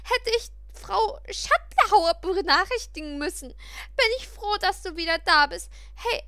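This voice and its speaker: A woman voicing a worried, excitable teenage girl roommate in an audiobook, gushing and alarmed. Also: very high voice, measured pace, no echo, pitched above the performer's own voice, exaggerated voice. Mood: shocked, relieved. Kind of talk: audiobook character voice.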